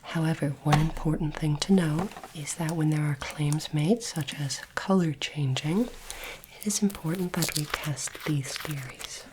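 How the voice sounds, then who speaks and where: A woman doing a soft-spoken, whispered ASMR roleplay as a polite, formal alien interviewer, with deliberate phrasing. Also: deep voice, noisy background.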